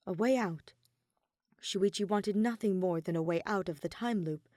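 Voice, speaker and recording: Woman reading an audio story, medium pitch, very dry recording. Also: moderate pace, darkish tone, no echo. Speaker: audiobook narrator of fan fiction. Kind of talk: scripted story narration.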